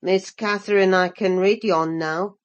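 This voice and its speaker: A woman voicing a rough, awkward young countryman in a storybook reading, speaking in a slow, clumsy, gruff way. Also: medium pitch, steady tone, lo-fi sound, pitched below the performer's own voice, natural voice.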